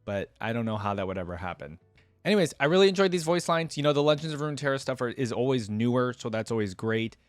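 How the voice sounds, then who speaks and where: A man's voice: medium pitch, lively intonation, quick pace, no room sound, clean studio sound, faint music behind. A man giving casual, slangy fan commentary as the host of a reaction video. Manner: informal, slangy fan talk.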